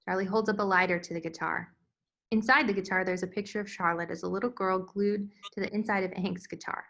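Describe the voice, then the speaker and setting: A woman's voice: mid-range voice, creaky, a bit bright. A woman narrator reading scene headings and stage directions from a screenplay in a scripted style.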